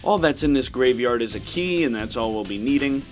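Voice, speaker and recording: A man's voice: medium pitch, animated, a little fast. A man giving casual, matter-of-fact commentary as he plays through a video game. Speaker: gaming video host. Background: game music.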